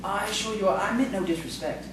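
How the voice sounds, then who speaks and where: A man's voice: high-pitched, brisk, natural voice. A man in an audio theatre play as a polite, bookish, somewhat pompous schoolteacher, speaking formally and hesitantly in long words. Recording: very echoey, distant, noisy stage recording.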